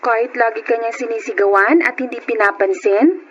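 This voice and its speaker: A woman playing a gentle young nanny, caring and respectful, in a radio drama. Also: a little high, a little fast, natural voice. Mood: soothing, coaxing. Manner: soothing, animated coaxing. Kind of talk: radio drama acting and first-person narration. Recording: dull, noisy radio drama recording.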